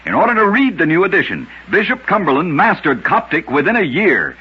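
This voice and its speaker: Very raspy, lively male narrator. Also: sits high, fairly quick, muffled recording. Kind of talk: scripted narration of a curious fact.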